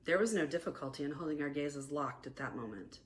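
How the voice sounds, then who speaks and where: American lady reading her romance memoir out loud, lowish voice, expressive n deliberate, bit of noise behind it. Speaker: author reading own novella aloud. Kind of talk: scripted first-person romantic memoir narration.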